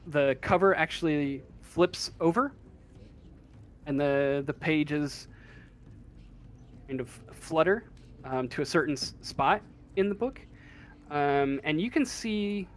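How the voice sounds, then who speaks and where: A man improvising narration as a tabletop game master, halting as he searches for words. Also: a little high. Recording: faint room noise.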